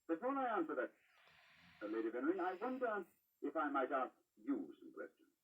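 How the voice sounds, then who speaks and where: A self-assured gentleman detective talks fast with lively swings of pitch. He is courteous, precise and husky, in an old radio drama heard from a distance. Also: highs cut off. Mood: probing. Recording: old radio broadcast, played from afar.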